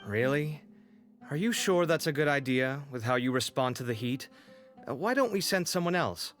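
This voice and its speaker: A man's voice: medium pitch, expressive, moderate pace, a bit bright, soft background music, natural voice. An actor playing a protective young man in an anime-style video game, talking in a conversational, slightly fretful way. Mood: worried. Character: concerned, expressive young man, protective friend.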